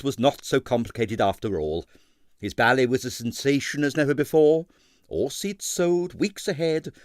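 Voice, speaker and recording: A man narrating a comic novel, expressive and wry, in a scripted storytelling style. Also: mid-range voice, no echo, clean studio sound. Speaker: audiobook narrator.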